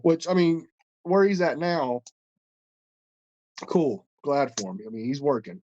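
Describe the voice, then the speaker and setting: A man's voice: on the high side, expressive, unhurried, a little mellow, no room sound, muffled recording. A man co-hosting a casual podcast, stuffed up and congested, telling a long story in a rambling, profane way with many restarts. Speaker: podcast co-host.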